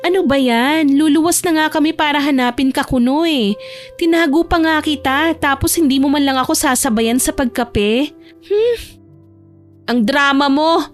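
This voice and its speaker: A bossy big-sister type voiced by a female narrator nags playfully in a high voice. She teases as she goes, in audio drama style, with music underneath. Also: moderate pace, close to an everyday voice. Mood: nagging. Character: bossy, teasing older female cousin. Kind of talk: Tagalog romance audio drama acting.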